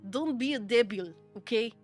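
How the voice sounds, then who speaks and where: A woman from the Czech Republic with a Czech accent, a Czech native speaker chatting casually with her stream audience, laughing often and repeating "okay?" to drive her points home. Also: on the high side. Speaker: VTuber streamer. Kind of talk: casual livestream chat, answering viewer chat.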